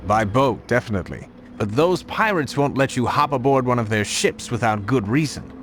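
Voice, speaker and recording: A man as a level-headed informant, mid-pitched. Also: animated, moderate pace, a bit bright, slight background noise, lightly acted. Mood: businesslike. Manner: matter-of-fact, explanatory. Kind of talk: video game cutscene acting.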